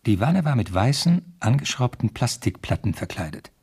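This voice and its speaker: A man playing a young police detective, narrating his case soberly and precisely in a radio drama, with a husky, creaky voice. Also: mid-range voice, sing-song, moderate pace, darkish tone, heavily acted. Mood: sober, measured. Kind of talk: first-person scripted radio drama narration.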